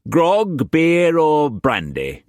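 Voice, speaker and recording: A man voicing a coarse, boisterous old male sea captain with a rough dialect. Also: a little high, wildly swooping pitch, very slow, darkish tone, no echo, clean studio sound, voice pushed up, heavily acted. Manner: coarse, bellowing, dialect-heavy.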